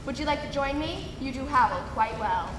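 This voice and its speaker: Woman playing an animated, indignant old donkey, high-pitched. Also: normal speed, background noise. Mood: friendly, dry. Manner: theatrical, exclamatory, braying. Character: old, indignant, wry donkey with big ambitions. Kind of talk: children's folktale stage play. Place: large hall, stage performance. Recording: echoey hall, music behind.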